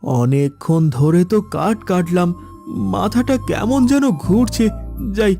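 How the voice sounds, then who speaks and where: Male actor as poor village woodcutter, high pitch, plaintive, cartoon dub, faint music behind. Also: very animated, a little slow, mellow, exaggerated voice. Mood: weary, resigned. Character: poor, despairing village sweet-seller turned woodcutter, a husband. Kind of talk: Bengali moral-story cartoon dubbing.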